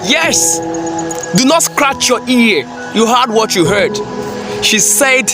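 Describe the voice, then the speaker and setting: A man giving a preachy, emphatic reaction commentary, repeating phrases for effect. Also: high voice. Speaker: commentary vlogger.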